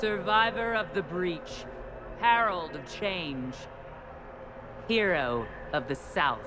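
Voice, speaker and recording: An actor playing a stern, zealous Qunari commander in a video game, speaking in formal, declamatory phrases with cold contempt. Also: male voice, high voice, slow pace, background noise. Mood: cold, menacing. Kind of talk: dramatic video game acting.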